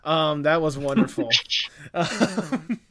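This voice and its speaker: A man's voice: fairly high pitch, slow pace, sharp tone. A man hosting a casual podcast, rambling with many fillers and restarts as he recalls past episodes. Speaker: podcast host.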